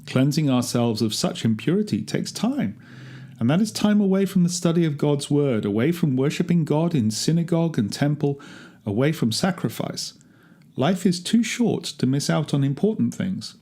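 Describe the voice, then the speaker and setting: A man's voice: fairly high pitch, big pitch swings, normal speed, mellow, voice pushed up. A man voicing a fussy, pious rabbi lecturing didactically in a storytelling monologue. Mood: preachy. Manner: preachy, didactic.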